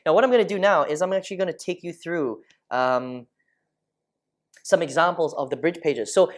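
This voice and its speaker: A man in his thirties from Malaysia, teaching online marketing over a screen share in a chatty way, often checking in with the listener. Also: a little high. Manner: chatty, keeps checking in with "okay?". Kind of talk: casual screen-share tutorial. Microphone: lapel mic.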